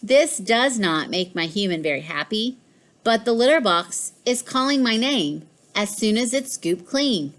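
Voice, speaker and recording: A woman's voice: fairly high pitch, expressive, measured pace. A woman voicing a smug, mischievous pet cat in a storybook, with a boastful, emphatic delivery. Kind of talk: storybook read-aloud.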